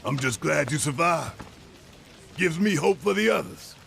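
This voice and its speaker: An actor voicing a gruff but big-hearted, protective male fighter with a gravelly voice in a video game cutscene. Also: a little high, animated, unhurried, fairly bright tone, exaggerated voice. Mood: relieved. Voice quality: gravelly, creaky. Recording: reverberant game audio with background noise.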